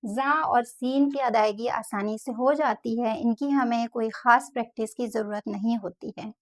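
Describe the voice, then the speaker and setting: Lady teacher, slightly high voice, slows down and repeats each letter sound for practice. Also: fairly even intonation. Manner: slow, careful demonstration of letter sounds with repetition. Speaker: Quran pronunciation teacher. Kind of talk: step-by-step tajweed lesson.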